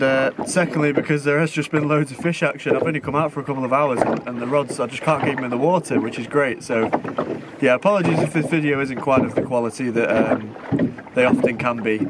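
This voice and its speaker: A man, chatting casually to camera as he hosts an outdoor fishing vlog. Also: medium pitch. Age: 20s. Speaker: angler, video host. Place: small open boat at sea. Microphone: camera mic at a short distance. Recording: loud wind and water noise.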